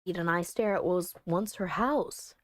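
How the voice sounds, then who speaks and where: Wistful romantic game narrator, acted by a woman, lowered voice, close dry sound, tender and measured. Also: no room sound, natural voice. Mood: tender, solemn. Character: wistful, devoted partner narrating a ghost love story. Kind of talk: visual novel read aloud.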